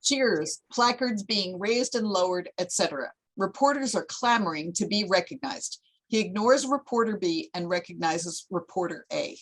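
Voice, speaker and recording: A woman's voice: mid-range voice, lively intonation, moderate pace, few pauses, rough recording. A woman narrator reading screenplay stage directions in a scripted table read.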